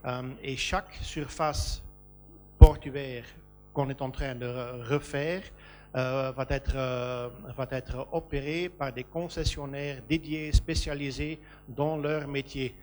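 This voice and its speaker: A man's voice: mid-range voice, fairly even intonation, takes it slowly, darkish tone, soft background music. A man from Belgium speaking on a business panel about a port, hesitant with many fillers and restarts. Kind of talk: panel discussion.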